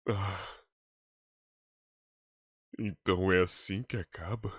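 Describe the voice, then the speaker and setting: An actor playing a weary, gentle old monster king full of regret, speaking solemnly in a dramatic game dub. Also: deep voice, fairly even intonation, husky, no room sound, clean studio sound, exaggerated voice. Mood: sorrowful, grave. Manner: solemn, measured, dramatic delivery. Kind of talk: dramatic dubbed game monologue. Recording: music behind the voice.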